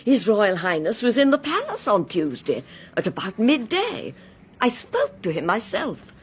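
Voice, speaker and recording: An actress playing a kindly, proper English governess in a radio drama, flustered, earnest and polite, with an English accent. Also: female voice, medium pitch, huge pitch swings, measured pace, a little hiss or hum. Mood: earnest, urgent.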